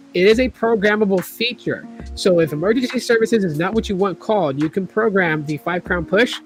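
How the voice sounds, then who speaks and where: A man's voice: on the high side, fairly bright tone. A man hosting a casual tech video, talking in a rambling way with many fillers and restarts while he is losing his voice.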